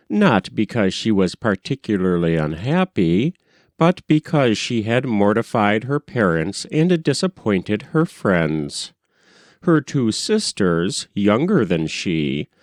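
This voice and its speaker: A man reading a short story aloud as scripted narration, in a measured, expressive storytelling manner. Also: lowish voice, no room sound, clean studio sound. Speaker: narrator, audiobook reader.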